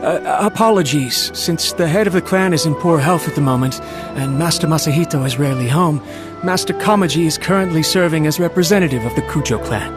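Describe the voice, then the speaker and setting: A man's voice: fairly high pitch, brisk, close to an everyday voice. A man playing a nervous, loyal servant in a video game, speaking in a deferential, hesitant and anxious way. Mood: nervous, apologetic. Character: nervous, humble, loyal male servant of noble clan. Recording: game music playing behind the voice.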